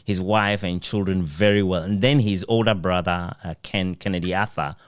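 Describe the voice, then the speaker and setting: Smooth, low-voiced man interviewing. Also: fairly even intonation, moderate pace, no room sound, clean studio sound. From Ghana. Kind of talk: conversational interviewing.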